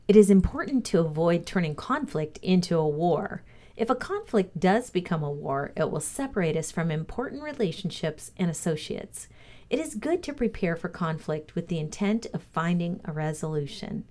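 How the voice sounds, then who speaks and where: A woman reading a motivational lesson aloud as a co-host, in a measured, instructive way. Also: lowish voice, sing-song, dark tone.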